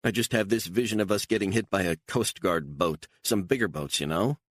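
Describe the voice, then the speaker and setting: A man voicing an exhausted, angry young actress who swears and pleads in a shrill, strained voice. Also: brisk, a bit bright, dry recording. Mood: frantic. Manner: shrill, swearing, near tears.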